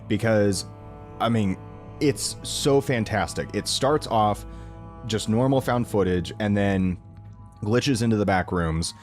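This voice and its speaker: A young man with a fairly low voice talks casually to his viewers as a reaction video creator. He rambles informally and enthusiastically, with a self-deprecating streak, over clearly present background music. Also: slow. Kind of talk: casual rambling review to camera.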